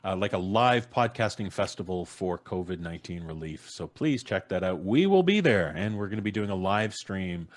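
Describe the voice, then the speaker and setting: Middle-aged male host, low register, casual livestream delivery over a video call. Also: lively intonation, normal speed, few pauses. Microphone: video call, close mic with headphones.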